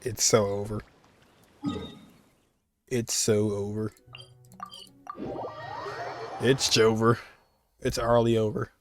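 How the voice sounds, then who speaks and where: A man's voice: fairly low pitch, little pitch movement, slow pace, slight background noise. A man giving casual, slangy playthrough commentary, full of joking asides and mock resignation. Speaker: gaming streamer.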